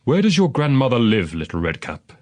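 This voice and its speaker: A man's voice: medium pitch, huge pitch swings, fairly quick, darkish tone. Man voicing a sly, coaxing wicked wolf. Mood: falsely friendly.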